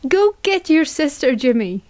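A woman voicing a coarse, self-pitying mourning mother in an audiobook, with a high, strained, moaning delivery. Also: very animated, a little mellow. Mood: shrieking insistence. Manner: moaning, dirge-like, drawn-out. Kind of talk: literary audiobook character acting.

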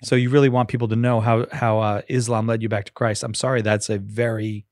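A man's voice: on the low side, level tone, brisk, darkish tone, no echo, clean studio sound. A man hosting a casual, conversational interview. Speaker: interviewer, host.

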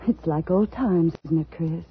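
An actress playing an unstable, haughty woman writer bent on revenge in a 1950s radio thriller, intense and halting, quick to flare. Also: low voice, slight background noise. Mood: brooding, dreamy. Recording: tinny old radio broadcast, music behind.

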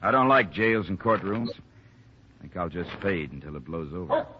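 Low, gruff, hot-tempered rancher. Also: male voice, animated, normal speed. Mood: bitter, defensive. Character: hot-tempered, embittered western rancher's son. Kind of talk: 1950s radio drama acting. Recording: muffled old radio recording, faint noise.

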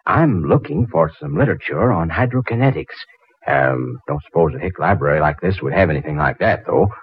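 An actor playing a flustered, condescending out-of-town visitor in a 1940s radio drama, stammering and wordy, on a muffled vintage recording. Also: male voice, extremely low pitch, lively intonation, brisk, a little hiss or hum. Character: flustered, condescending male visitor researching hydrokinetics.

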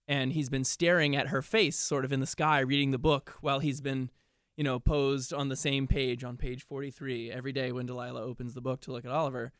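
A man talks as an actor in a casual interview. His voice is smooth and resonant at a middle pitch, recorded close and dry. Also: no echo.

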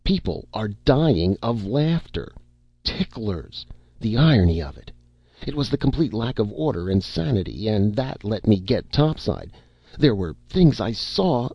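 A man voicing a terrified, frantic grown man whose voice strains and goes shrill as he pleads. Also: very animated, measured pace, gravelly, breathy edge, dry recording, muffled recording, full character voice. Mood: shaken. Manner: pleading, breaks into high frantic cries.

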